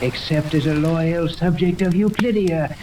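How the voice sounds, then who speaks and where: An actor in a 1930s radio serial playing a boastful mad scientist villain, speaking slowly and formally with a gloating menace. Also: male voice, a little high, lightly acted. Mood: menacing, boastful. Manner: slow, gloating, formal speech with sinister chuckles. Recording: noisy 1930s radio recording with rain-like hiss.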